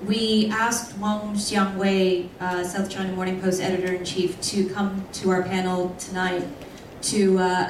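Woman moderator, unhurried and measured; distant in a roomy hall. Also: medium pitch, slight background noise. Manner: measured, hesitant. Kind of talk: panel moderation. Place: panel event hall. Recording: echoey hall from far off.

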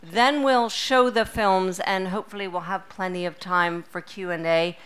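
A woman's voice: mid-range voice, steady tone, normal speed, fairly bright tone, clear, resonant tone. A woman hosting a club event, giving a prepared and courteous introduction of a guest speaker.

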